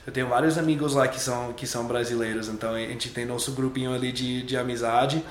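A man's voice: mid-range voice, fairly even intonation, fast talker, reverberant, slight background noise. A young adult man, a native English speaker, chatting casually as an interview guest, loose and repetitive, restarting his words. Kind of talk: relaxed interview chat.

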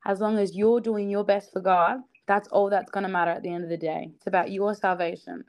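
A woman's voice: mid-range voice, fairly even intonation, a little fast, darkish tone. Upbeat young woman. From South Australia. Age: young adult. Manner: earnest and upbeat, laughs often, fillers like "you know". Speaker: guest. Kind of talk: casual podcast interview.

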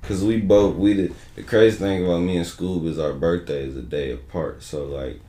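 Deep-voiced laid-back rapper. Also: male voice, fairly even intonation, normal speed, dark tone, reverberant. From Detroit, USA. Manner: laid-back, loose slang with frequent "you know what I'm saying". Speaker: rapper guest. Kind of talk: casual interview chat.

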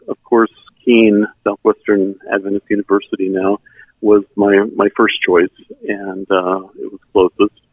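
A middle-aged man from South Texas whose first language is German, telling his personal story in an earnest, halting way as an interview guest. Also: on the low side, dry recording. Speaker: interview guest, member services volunteer. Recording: tinny phone-like sound, band-limited.

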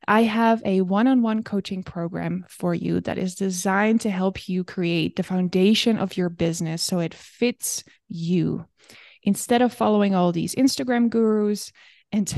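A woman career coach hosts her own podcast, leaning on key words with upbeat emphasis. Her voice is mid-pitched and sits close and dry on the mic. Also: a little mellow, no room sound. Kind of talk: podcast host promo and interview.

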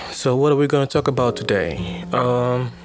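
A man's voice: medium pitch, expressive, unhurried, faint music behind. A Ghanaian man hosting a podcast, reading news stories aloud and then reacting to them with exclamations and comments. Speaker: podcast host.

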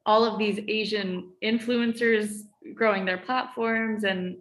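Teen girl, student panelist, chatty and filler-heavy, middle pitch, roomy echo. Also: fairly even intonation, takes it slowly, fairly bright tone. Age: teens. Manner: chatty, frequent fillers, laughs between phrases. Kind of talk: casual conversation.

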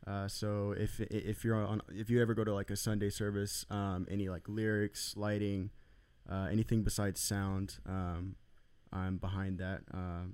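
A man's voice: fairly low pitch, little pitch movement, moderate pace, darkish tone, clear, resonant tone. A man from Arlington, Texas, who does church production, talking in a rambling, hesitant way in casual podcast chat. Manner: rambling, hesitant, many fillers.

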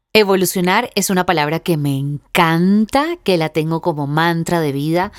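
A woman's voice: on the low side, no room sound, clean studio sound. A young woman, hosting her own podcast in a heartfelt, reflective personal monologue. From Venezuela. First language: Spanish. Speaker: podcast host.

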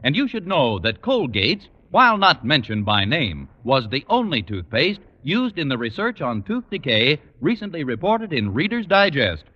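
A man announcing a 1940s radio commercial with forceful, emphatic delivery and big swoops in pitch. His voice is bright and ringing. The old recording is dull and echoes. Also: big pitch swings, normal speed, hardly any pauses.

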